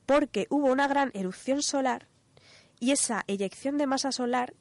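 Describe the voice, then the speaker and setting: A woman's voice: high voice, moderate pace, dry recording. Woman with a Spanish accent, close dry sound. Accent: Spanish from Spain. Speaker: radio show co-host and economist. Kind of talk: casual explanatory radio chat.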